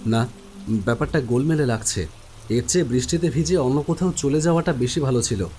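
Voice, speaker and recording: A man's voice: mid-range voice, brisk, mellow, slight background noise. A man giving dramatic first-person narration of a horror story. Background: music.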